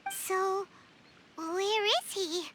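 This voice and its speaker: A woman voicing a tiny, curious, excitable childlike fairy companion in an anime game, with a squeaky voice. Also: drawn-out words, slight background noise, exaggerated voice. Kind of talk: anime video game dialogue. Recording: loud game music behind. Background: loud game music.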